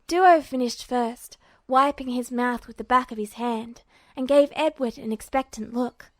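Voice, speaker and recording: Woman reading a story, highish voice, narrator style, dry close recording. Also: a little slow, no echo. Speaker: podfic narrator. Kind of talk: scripted fiction narration.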